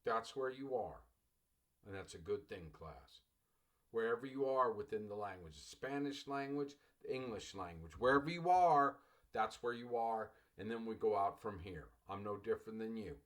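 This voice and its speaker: A man's voice: on the low side, expressive, moderate pace. A middle-aged man from Los Angeles, a college English teacher, talking to his class in a loose, rambling way and often calling them "class". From Los Angeles, United States. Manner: addresses listeners as "class", loose and digressive, repeats phrases. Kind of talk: recorded class lecture.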